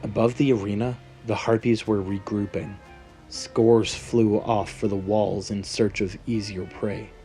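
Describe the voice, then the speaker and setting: A man's voice: fairly low pitch, fairly even intonation, unhurried, dark tone. A man narrating a scripted fantasy story in a dramatic storytelling manner. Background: background music.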